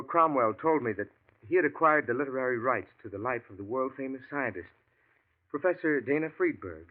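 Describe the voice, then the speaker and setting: A man's voice: medium pitch, lightly acted. An actor playing an anxious male writer in his thirties in a 1940s radio drama, stammering and urgent. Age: 30s. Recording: tinny old radio broadcast.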